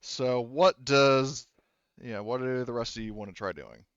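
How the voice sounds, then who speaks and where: A man's voice: mid-range voice, moderate pace, a bit bright, clean tone, no echo, highs cut off. A man working as a game master, improvising narration and banter for a tabletop game in a jokey way, feeling out his phrasing as he goes.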